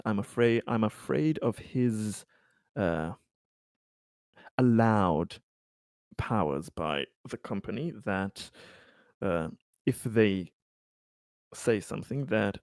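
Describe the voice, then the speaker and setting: A man playing a guarded, diplomatic corporate colony administrator in a roleplaying game, speaking haltingly and deliberately. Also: fairly low pitch, a little mellow, dry recording, studio quality. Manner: halting, deliberate, hedging with pauses. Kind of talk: improvised in-character roleplay dialogue.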